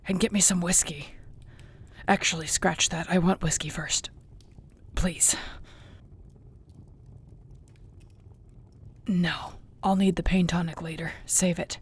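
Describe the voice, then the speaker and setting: A woman playing a battered, tough but affectionate orc girlfriend in an intimate fantasy audio roleplay, with a husky, gravelly voice and a wry, wearily understated way of talking. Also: on the low side, steady tone, unhurried. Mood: weary, stubborn. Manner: sighing, conversational, wearily understated.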